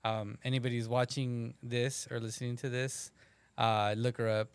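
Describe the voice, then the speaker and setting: Casting: casual man, mid-pitched, rambling, dry close sound. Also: flat delivery, moderate pace, smooth, no room sound. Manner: laid-back, rambling, swears casually. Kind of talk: casual chat.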